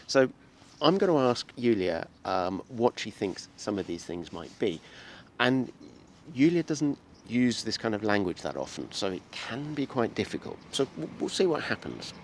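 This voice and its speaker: A man hosting a casual business-English lesson, native to the language. He explains words with simple everyday examples and laughs easily. His speech swings widely in pitch, with a faint hum of room ambience behind him. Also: very animated, normal speed, few pauses. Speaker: English teacher and host. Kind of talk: casual teaching interview.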